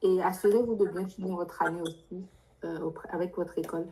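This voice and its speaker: A woman's voice: lowish voice, steady tone, fairly quick, mellow, rough recording. A woman giving spontaneous advice as a workshop adviser, directive and insistent, with probing questions. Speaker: adviser leading a workshop for international students. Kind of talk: live advisory Q&A, spontaneous spoken explanation.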